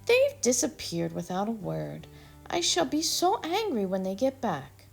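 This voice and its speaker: A woman's voice: fairly high pitch, huge pitch swings, unhurried, creaky, soft background music, pitched above the performer's own voice, full character voice. Woman doing a fretful acorn mother. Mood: worried. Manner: anxious, complaining, firm. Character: worried fussing acorn mother.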